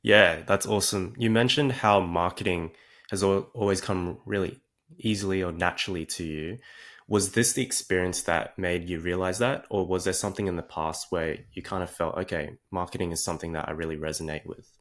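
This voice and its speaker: A man's voice: deep voice, steady tone, a little fast, fairly bright tone. A man hosting a podcast, attentively asking long, thoughtful interview questions. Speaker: podcast host.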